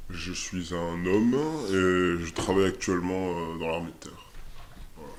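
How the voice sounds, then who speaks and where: A man in his twenties with a very deep voice, answering interview questions in a relaxed way. He speaks hesitantly, with many fillers and restarts as he looks for his words. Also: a little slow, a little hiss or hum. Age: 20s. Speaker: interviewee, army soldier.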